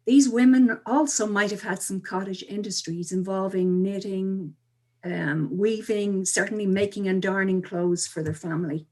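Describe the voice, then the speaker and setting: A woman speaks as a guest in an interview. Her delivery is measured and articulate, with an explanatory feel and a middle-register voice. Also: medium pitch, darkish tone.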